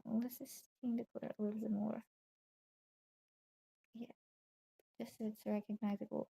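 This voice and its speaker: A young woman with a raspy voice from a nap, softly talking through her digital painting on a live stream. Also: fairly high pitch, level tone, low-quality recording. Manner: soft, unhurried commentary on her own drawing. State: just woke up from a nap. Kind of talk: casual narration while drawing.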